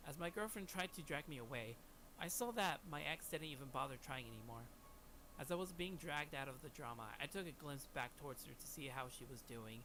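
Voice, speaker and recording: Young male narrator, scripted first-person drama storytelling, medium pitch, noticeable noise behind the voice.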